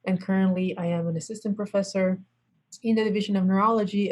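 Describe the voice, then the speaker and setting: A woman child neurologist from Puerto Rico, introducing herself as a guest with gentle humor. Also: fairly low pitch, poor audio.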